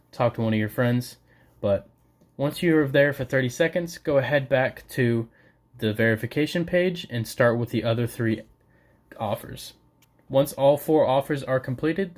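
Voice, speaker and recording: A young man narrating a casual step-by-step tutorial, speaking informally and directly to his viewers. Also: medium pitch. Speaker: video creator giving a tutorial.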